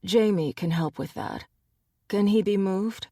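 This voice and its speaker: A woman's voice: mid-range voice, measured pace, warm tone, no echo. A tired male shifter with dry wit, put on by a woman reading a storybook. He sounds worn but wry. The recording is close and dry.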